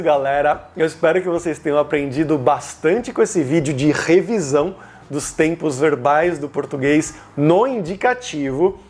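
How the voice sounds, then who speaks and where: A man with a Brazilian accent speaks in a somewhat high-pitched voice. He teaches in an informal, explanatory way, like a video host walking learners through a lesson, and leans on key words for emphasis. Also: a little mellow. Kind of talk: informal grammar lesson to camera.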